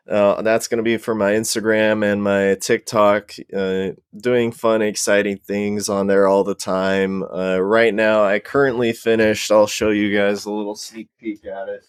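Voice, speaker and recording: A man speaks casually as a panel guest. His voice is very deep and clean, with little rise and fall. The recording is close and dry. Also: clear, resonant tone, no room sound. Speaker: podcast guest, cosplay prop maker. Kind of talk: casual chat.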